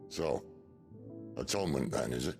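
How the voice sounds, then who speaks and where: An actor playing a worldly middle-aged rogue leader in a video game, with a gravelly voice and a wry, knowing manner. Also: male voice, expressive, normal speed, a little mellow, faint music behind, exaggerated voice. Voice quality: gravelly, very deep. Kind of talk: dramatic video game acting.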